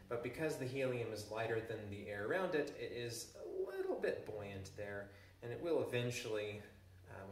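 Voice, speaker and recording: A man in his thirties explains a chemistry demonstration in a relaxed way, pausing often on ums. His voice sits low and comes from a camera some distance away. It rings around a big echoey room with a faint hiss behind it. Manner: relaxed explaining with frequent ums, handling balloons. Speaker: chemistry teacher. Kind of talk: classroom demonstration. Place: large living room with a stone wall, indoors.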